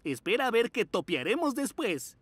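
A lively cartoon mole teacher. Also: female voice, fairly high pitch, normal speed, heavy background noise. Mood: encouraging. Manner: warm, encouraging, sing-song. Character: kind mole gym teacher. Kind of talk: cartoon voice acting, Spanish dub. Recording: cartoon with music behind.

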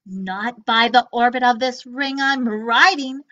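A woman's voice: fairly high pitch, takes it slowly, bright, forceful tone, lo-fi sound. Woman voicing a carefree little boy alien. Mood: eager.

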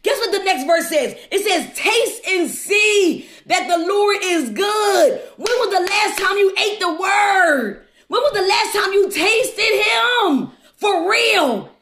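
Female preacher, extremely high voice, fervent exhorting delivery, echoey room. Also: lively intonation, slow, few pauses, a bit bright. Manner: fervent, exhorting, repetitive rhetorical questions. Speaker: preacher leading a livestream devotional. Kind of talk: exuberant devotional sermon.

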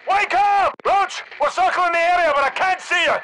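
Tough male army squad leader in a shooter game, really high pitched urgent voice, barking short orders, battle noise behind. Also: moderate pace. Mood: desperate, urgent. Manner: commanding, clipped radio-style military orders. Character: tough, urgent male special forces soldier leading a squad. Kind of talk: video game battle dialogue.

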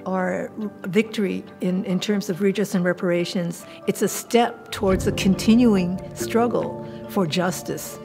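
An older woman with an American accent, speaking earnestly and in a measured way in a documentary interview. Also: medium pitch, fairly even intonation, creaky. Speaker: community activist interviewee. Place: indoor room, seated filmed interview. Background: music.

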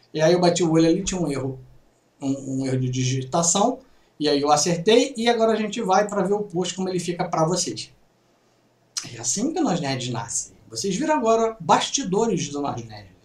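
A middle-aged Brazilian man with a Brazilian Portuguese accent, casually reading and joking through gaming news on a home webcam vlog. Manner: chatty, jokey asides, lively swings in pitch. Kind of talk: casual news commentary over screen share. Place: small room at home. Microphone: webcam in corner. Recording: roomy, distant from mic.

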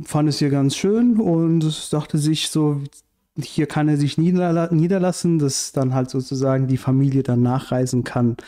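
Podcast guest, thirties man, halting delivery, soft dark tone, boom mic in a living room. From Iran. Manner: hesitant, many fillers and restarts. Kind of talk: casual interview chat. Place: bright living room with large windows. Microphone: studio mic on boom arm, headphones.

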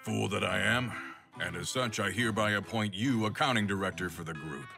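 A man's voice: lowish voice, fairly quick, creaky. A man voicing a sleazy, self-important cartoon hyena music producer, smug and scheming.

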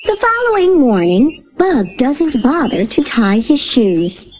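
A woman reading a children's picture book aloud in a slow, lilting storytelling voice. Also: sits high, sing-song, darkish tone, soft background music. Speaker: storybook reader. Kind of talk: children's read-aloud narration.

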